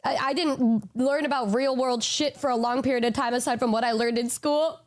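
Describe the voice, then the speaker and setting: A woman's voice: a little high, steady tone, a little fast. Rambling woman streamer in her twenties. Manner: rambling, swears, says "bro" and "like" a lot. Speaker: VTuber streamer. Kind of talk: casual stream chat.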